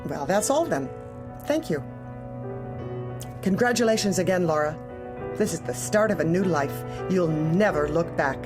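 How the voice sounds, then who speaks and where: An actress playing a cold, controlling female corporate manager, speaking in a measured, formal, probing way in an audio drama. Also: lowish voice, animated, over music. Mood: coolly formal.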